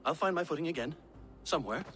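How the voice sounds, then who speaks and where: An actor playing a plain-spoken, resilient horse trader in a dramatic video game. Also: male voice, a little high, lively intonation, fast talker, a bit bright, faint music behind. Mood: earnest, weary.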